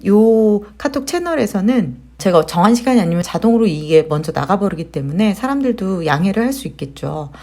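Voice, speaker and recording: A woman hosting a casual step-by-step software tutorial, explaining conversationally and putting questions to her viewers. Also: medium pitch, animated, a little fast, hardly any pauses. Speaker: tutorial host, digital marketer.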